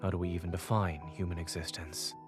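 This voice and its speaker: Actor as deep-voiced, composed male doctor. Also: faint music behind, close to an everyday voice. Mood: calm, authoritative. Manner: steady, composed, measured. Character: calm authoritative male doctor, brilliant surgeon and lecturer. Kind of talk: scripted game voice acting.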